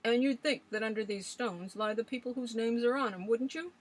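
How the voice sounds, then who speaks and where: Old village grump, loud and scornful, rustic grammar, read by a woman narrator. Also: a little high, fairly quick, pitched above the performer's own voice, lightly acted. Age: older.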